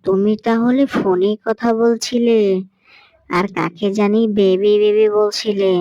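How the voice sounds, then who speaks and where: A woman's voice: medium pitch, fairly even intonation, a little slow, mellow, no room sound, dull audio, lightly acted. A woman voicing a little boy in a cartoon who whines and begs for ice cream. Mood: scheming. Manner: whiny, wheedling child voice. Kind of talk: cartoon voice acting.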